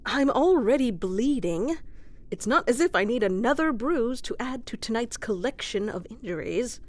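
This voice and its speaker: A woman's voice: fairly high pitch, expressive, a little slow. A woman playing a wry, composed, battle-worn ruler in a scripted fantasy audio roleplay, with formal, teasing, dry delivery. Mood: mock annoyed. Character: wry, composed female ruler of a fantasy kingdom, wounded ex-fighter.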